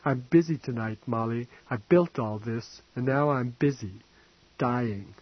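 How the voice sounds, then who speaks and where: A man's voice: medium pitch, measured pace, slightly rough, highs cut off. A man reading an audiobook, voicing an ancient, dying, decadent rich man who rambles and mocks in a languid drawl. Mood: mocking. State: drunk and fading. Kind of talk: audiobook character voice.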